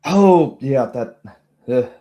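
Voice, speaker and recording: A man's voice: medium pitch, animated, takes it slowly, dark tone, dull audio, voice pushed up. A man voicing a forgetful old female gnome who rambles hesitantly and apologizes in a tabletop game. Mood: flustered, apologetic. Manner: hesitant, rambling, self-deprecating.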